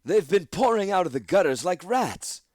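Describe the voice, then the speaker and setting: A man voicing a stately, haughty elder councilwoman who speaks loudly and imperiously in a raised voice. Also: high voice, big pitch swings, normal speed, very noisy, voice pushed up. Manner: loud, imperious.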